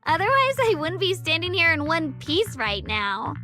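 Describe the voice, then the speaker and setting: A woman's voice: very high voice, very animated, normal speed, bright, forceful tone, faint music behind, heavily acted. A woman voicing an earnest, polite young swordswoman disciple in a video game, with a reassuring manner.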